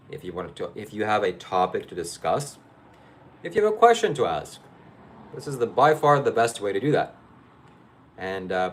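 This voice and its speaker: A man from Ukraine, hosting a live stream and chatting casually with viewers in a rambling, hesitant way. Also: fairly low pitch. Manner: rambling, hesitant, with many fillers and restarts. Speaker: livestream host.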